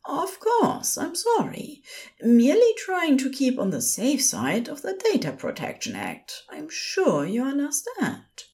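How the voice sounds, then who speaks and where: Woman as male nurse in audio fiction: high, lilting, prim, courteous, soothing, unhurried. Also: creaky, heavily acted. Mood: soothing, gentle. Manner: polite, formal, calm.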